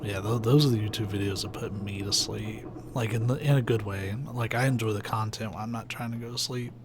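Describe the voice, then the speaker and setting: A young man with a soft, soothing voice, chatting casually and rambling while he plays a video game on stream. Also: fairly low pitch, a little fast. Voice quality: soft, soothing, sleepy-sounding voice. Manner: rambling, laid-back, many "like"s and "uh"s. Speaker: let's play streamer. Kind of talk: casual commentary while gaming. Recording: steady background noise hiss.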